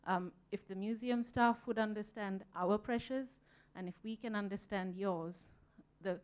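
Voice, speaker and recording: A woman's voice: mid-range voice, measured pace, smooth. A woman from Sri Lanka speaking on a conference panel, earnest and reflective, with frequent ums as she thinks. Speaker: museum volunteer panelist. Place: conference room.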